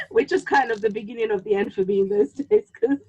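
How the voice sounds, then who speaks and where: A woman whose home tongue is Yoruba, chatting casually and reflectively, halting now and then as she thinks aloud. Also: a little high, sing-song, darkish tone, husky. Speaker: podcast co-host. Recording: slightly dull, lo-fi sound.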